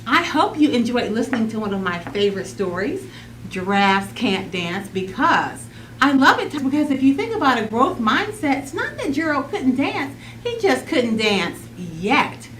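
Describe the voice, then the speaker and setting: A woman reading a children's storybook aloud, with dramatic, deliberate emphasis. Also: a little high, very animated, a bit bright, slight background noise. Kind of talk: expressive read-aloud storybook narration.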